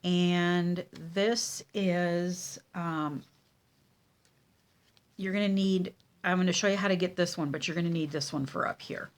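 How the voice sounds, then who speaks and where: Congested woman teaching card-making, slow. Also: fairly low pitch. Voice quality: nasal. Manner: relaxed, with many ums and pauses. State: congested with a cold. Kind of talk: casual craft tutorial. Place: craft table at home.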